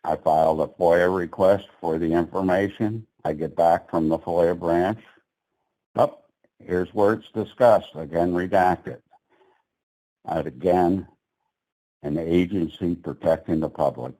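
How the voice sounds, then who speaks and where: A man's voice: low-pitched, a little slow, dark tone, rough recording. A man speaking at a public meeting, blunt and sarcastic about the agency's process. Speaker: petitioner at regulatory meeting.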